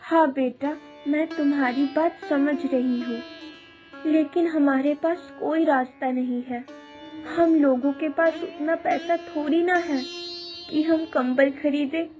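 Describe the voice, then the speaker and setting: A humble, sorrowful village mother working as a maid in a cartoon tale. Her high voice moves slowly and plaintively, with some hesitation. Music plays clearly behind her. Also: fairly even intonation. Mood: gentle, resigned. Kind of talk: Hindi cartoon story dubbing.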